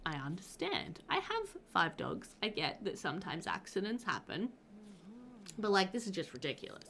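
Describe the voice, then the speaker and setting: A woman in her thirties narrates her gameplay in a loose, chatty way, laughing often. Her nose sounds slightly stuffed up. She talks into a headset at a middle pitch. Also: wildly swooping pitch, normal speed, a bit bright. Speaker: gaming channel host. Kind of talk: casual gameplay voiceover.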